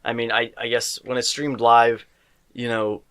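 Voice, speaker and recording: A man's voice: mid-range voice, level tone, measured pace, fairly bright tone, clean tone. A teenage man hosting a casual podcast, rambling with lots of fillers and restarts. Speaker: podcast co-host.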